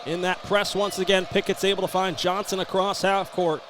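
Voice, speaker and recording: An American man doing brisk, descriptive live basketball play-by-play that can rise to excited calls. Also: high voice, a crowd in the background. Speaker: play-by-play sports announcer. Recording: live arena broadcast, background ambient noise. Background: basketball game ambience.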